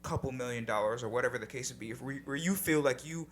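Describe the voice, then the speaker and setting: A man's voice: mid-range voice, fast. Laid-back young man with faint hum. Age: young adult. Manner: laid-back, reflective, casual swearing. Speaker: podcast co-host. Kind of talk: casual chat.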